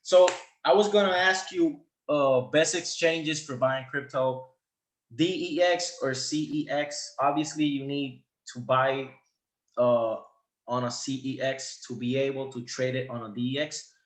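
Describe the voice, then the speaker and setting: A man hosting a casual interview, asking relaxed, easygoing questions. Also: medium pitch, slow pace. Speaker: host. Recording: roomy echo.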